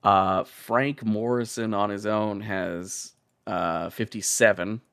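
A man's voice: fairly low pitch, steady tone, slow pace, gravelly, fairly bright tone, clear, resonant tone, no room sound, studio quality. A man hosting a podcast, talking casually and comedically with a wry, profane streak. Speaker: podcast host.

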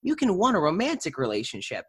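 A woman's voice: on the low side, huge pitch swings, fast, husky. A woman speaking casually and earnestly in an interview, explaining her thoughts. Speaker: interview participant, actor.

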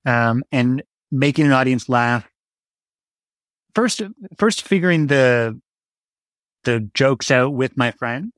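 Halting, reflective man in a dry recording. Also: medium pitch, lively intonation, fairly bright tone, no echo. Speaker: interview guest, playwright. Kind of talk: conversational, reflective interview answer.

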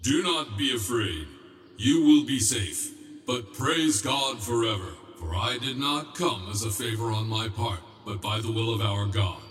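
A man playing a calm, authoritative archangel in a religious audio drama, solemn and measured in delivery. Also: medium pitch, slightly rough, heavily acted. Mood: reassuring, commanding. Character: calm, authoritative male archangel revealing himself.

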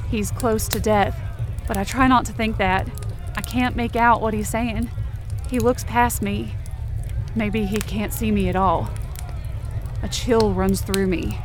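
A woman's voice: mid-range voice, steady tone, a little slow, a little hiss or hum, close to an everyday voice. A woman acting as a stubborn, desperate young rescuer, narrating a supernatural audio drama in an intense, emotive way. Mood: tense, grieving. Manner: intense, emotive, swearing under strain. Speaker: narrator and lead actor of a fiction podcast. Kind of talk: dramatic first-person present-tense audio drama narration.

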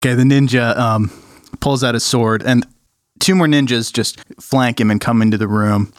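A man's voice: medium pitch, fairly even intonation, moderate pace, no echo, clean studio sound. A young man narrating a tabletop game as game master, casual and loose with fillers.

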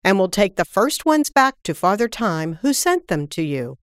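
A woman voicing a kindly but firm fairy in a storybook, patient and measured as she teaches. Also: medium pitch, big pitch swings, smooth, no echo, studio quality, lightly acted.

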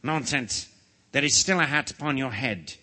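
A man voicing an imperious, quick-tempered storybook king with a commanding, indignant, shouting manner. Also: medium pitch. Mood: angry. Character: imperious, furious old-fashioned king, quick to rage.

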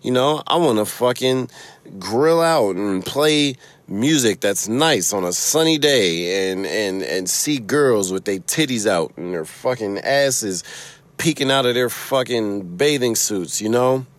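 A man's voice: medium pitch, animated, measured pace, dry recording. A man with a Chicago accent hosting a casual solo podcast from his car, rambling, swearing freely and laughing often. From Chicago, USA. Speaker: podcast host.

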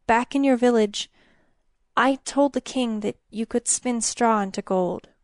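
A woman's voice: fairly high pitch, a little slow, no echo, studio quality, close to an everyday voice. A woman voicing an earnest, anxious young knight in a storybook tale, pleading and urgent. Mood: confessing.

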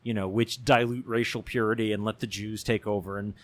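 A man on a talk show who owns a bookstore, talking politics casually in animated bursts with many restarts. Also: lowish voice, brisk, no echo. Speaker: show regular and bookstore owner.

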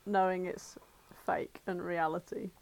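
A woman's voice: lowish voice, level tone, unhurried, a little mellow, rough recording. Blunt, sweary woman chatting. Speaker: podcast co-host. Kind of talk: casual chat.

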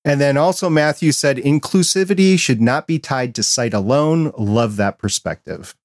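A man with a medium-pitched voice hosts a casual interview. His recording is dry, with no room sound, and he talks in an informal, conversational way. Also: moderate pace, no room sound. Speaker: podcast host.

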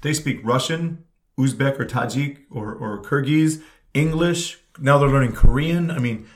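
A man's voice: medium pitch, measured pace. A man from Canada, chatting casually and telling stories with emphatic energy. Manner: animated storyteller, emphatic. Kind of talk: casual chat.

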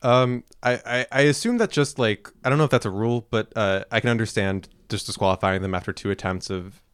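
A man's voice: fairly low pitch, fairly quick, a bit bright, smooth, no echo, clean studio sound. A man co-hosting a casual podcast, reading his notes and chatting with hesitant fillers and restarts. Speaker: podcast co-host.

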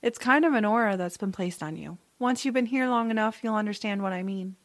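A calm, knowing female guardian, played by a woman in a fantasy audio drama. Her mid-range voice is matter-of-fact and reassuring, with a dry, close sound. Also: mid-range voice, brisk, dry recording, natural voice. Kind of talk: audiobook drama character acting.

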